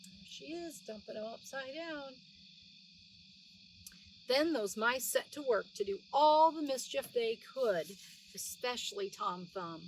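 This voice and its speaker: A woman's voice: on the high side, expressive, unhurried. A middle-aged woman reads a children's story expressively and explains things simply for young listeners. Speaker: children's read-aloud narrator. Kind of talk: expressive storybook reading with plain asides to explain words. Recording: distant mic with faint background noise.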